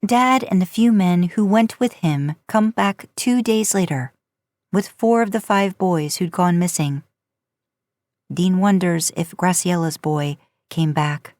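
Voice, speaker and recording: A woman narrates scripted fiction with an informal, conversational touch. Her voice sits somewhat low. The recording is very dry, with little room sound around her. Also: darkish tone, no echo. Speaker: podcast host narrating fan fiction. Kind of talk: scripted story narration, audiobook style.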